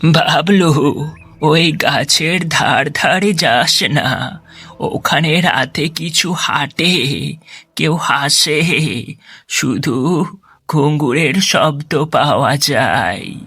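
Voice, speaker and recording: A man voicing a grave elderly village grandparent in a horror cartoon, giving ominous warnings in a husky, creaky voice. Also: fairly high pitch, very slow, bright, forceful tone, heavily acted. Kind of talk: animated horror story acting.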